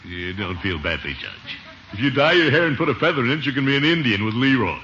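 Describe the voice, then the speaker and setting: An actor playing a pompous, blustery middle-aged uncle in a vintage radio comedy style. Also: male voice, medium pitch, very animated, quick pace, lightly acted. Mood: smug. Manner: pompous, swooping, chuckling delivery. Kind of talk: 1940s-50s radio comedy acting. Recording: dull, hissy old radio recording.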